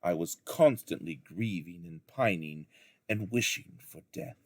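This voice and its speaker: A man reading a story aloud like a teacher to children, in measured, deliberate phrases with dramatic emphasis. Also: low-pitched, animated, no echo, clean studio sound. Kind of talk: expressive storybook narration.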